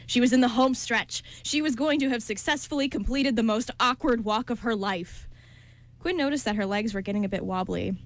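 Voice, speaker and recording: Woman, narrator, scripted storytelling, radio play, fairly high pitch.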